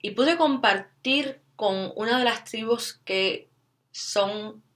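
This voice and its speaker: A woman's voice: fairly high pitch, takes it slowly, a bit bright. A woman from Cuba with a Cuban Spanish accent, telling a personal story to her channel's viewers and dropping English fillers and frequent tag questions into her Spanish. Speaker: vlogger.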